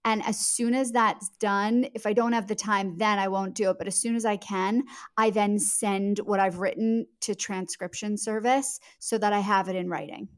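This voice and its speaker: A woman's voice: mid-range voice, fairly even intonation, moderate pace. A middle-aged woman speaking as a guest author in a podcast interview, explaining things in an emphatic, conversational way.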